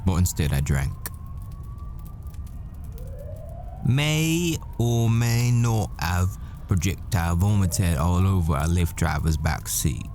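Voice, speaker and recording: A man playing a world-weary, foul-mouthed assassin boyfriend in a dramatic audio roleplay, brooding and deliberate in his storytelling. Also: sits low, animated, a little mellow, slight background noise. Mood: rueful, confessional.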